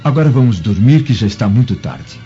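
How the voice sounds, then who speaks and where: An affectionate, dignified Roman father in a radio drama. He speaks formally and gently in a fairly low, dark voice, on a dull recording with faint music. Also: very animated, brisk. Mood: tender. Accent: Brazilian.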